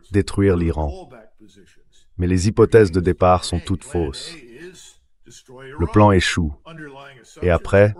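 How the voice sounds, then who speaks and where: A man's voice: sits low, darkish tone. An AI-generated male voice reading a translated voice-over of a guest's political commentary in an interview.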